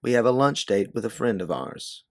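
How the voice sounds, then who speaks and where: A man's voice: medium pitch, normal speed, pitched above the performer's own voice, natural voice. A man voicing a young woman in a story, anxious, guarded and determined, speaking in careful, earnest phrases. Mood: composed, urgent. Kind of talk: storybook character dialogue.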